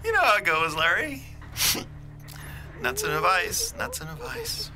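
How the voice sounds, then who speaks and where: A man's voice: sits high, wildly swooping pitch, measured pace, sharp tone, slight background noise, full character voice, poor audio. A man playing a mocking, unhinged radio DJ menace in a horror audio drama, swaggering and theatrical. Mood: taunting, menacing. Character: taunting, unhinged radio DJ menace manifesting on air.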